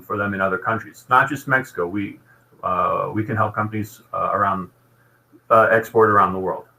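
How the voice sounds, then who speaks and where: Bright-voiced man giving a presentation. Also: on the low side, poor audio. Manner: explanatory, businesslike. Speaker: business program representative. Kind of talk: informational presentation.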